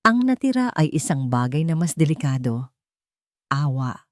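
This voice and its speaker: A woman's voice: deep voice, sing-song, moderate pace, a little mellow, no echo, clean studio sound. A woman reading a scripted, dramatic love story as a storyteller.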